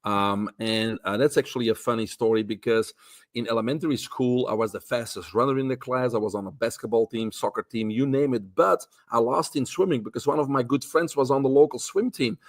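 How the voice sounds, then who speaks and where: A man in his fifties from Belgium with a Belgian accent, a guest relaxed telling his life story in casual talk with many fillers. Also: mid-range voice, a little fast. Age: 50s. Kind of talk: casual interview chat.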